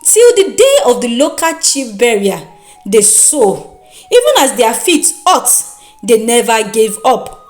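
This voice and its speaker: A woman with a Nigerian accent narrating a folktale in a dramatic, expressive and deliberate storytelling manner. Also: fairly high pitch. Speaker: storyteller and host.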